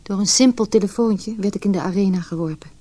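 Husky, mellow actress as wounded woman nearing forty. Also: mid-range voice, quick pace, lightly acted. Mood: pained, reflective. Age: late 30s. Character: vulnerable, self-questioning educated woman nearing forty, narrating her pain. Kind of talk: introspective radio drama monologue.

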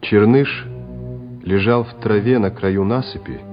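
A man's voice: lowish voice, fairly even intonation, unhurried. A man reading scripted dramatic narration as the narrator of a radio play. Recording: muffled old radio recording. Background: music.

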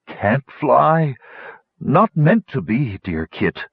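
Man as a dying storybook dragon, weary, gentle, resigned, halting drawn-out phrases, raised strained pitch, husky. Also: very animated, no echo, phone-quality sound, full character voice.

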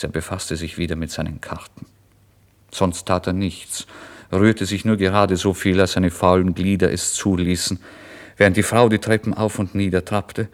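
A man giving a dramatic first-person literary narration in a theatrical manner that moves between hushed and excited. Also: low voice. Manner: theatrical, swinging from hushed murmurs to excited outbursts.

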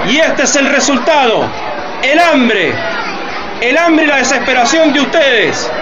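A very high-pitched male president played by an actor speaks slowly and emphatically to the nation in a radio drama. The recording is muffled, with a loud, noisy crowd all around. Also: heavily acted. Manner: emphatic, oratorical, repeats phrases for weight. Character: impassioned male president addressing a crowd from a balcony. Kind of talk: political speech in a radio play.

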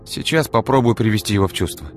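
A man voicing a fierce, sharp-tongued young woman who shrieks when angry. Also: fast talker, faint music behind, lightly acted. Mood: tense. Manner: shrill, shrieking when enraged.

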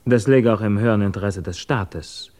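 Actor as low-voiced, guarded émigré physicist. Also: male voice, brisk, a little mellow, no room sound, close to an everyday voice. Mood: grave, guarded. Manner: hesitant, wary, formal. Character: guarded, evasive émigré physicist under suspicion, quietly troubled. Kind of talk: 1950s German radio drama acting.

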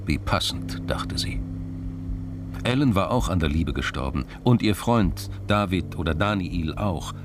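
A man narrating a scripted crime audio drama in a measured, literary storytelling manner. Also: low voice, lively intonation, noisy background. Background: music.

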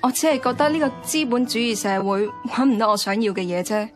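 A woman's voice: on the high side, fast, faint music behind, lightly acted. An actress with a Hong Kong Cantonese accent playing a frank, idealistic young woman in colloquial radio drama dialogue. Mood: earnest.

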